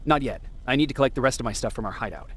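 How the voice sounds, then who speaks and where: An actor playing a terse, reserved resistance soldier in a video game. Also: male voice, medium pitch, expressive, racing, fairly bright tone, slightly rough. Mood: guarded, inquiring.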